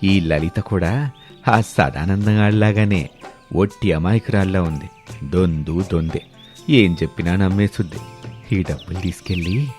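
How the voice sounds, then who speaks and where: A man voicing a scheming, fawning middle-aged village uncle in an animated moral story, coaxing others and muttering to himself. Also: low-pitched, a little slow, faint music behind, pitched below the performer's own voice.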